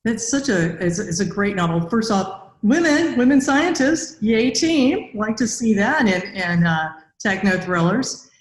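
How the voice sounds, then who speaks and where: A woman's voice: mid-range voice, animated, a little slow, hardly any pauses. An older woman hosting a conversational podcast interview as a robotics researcher. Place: indoor room with bare walls. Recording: echoey room on video call.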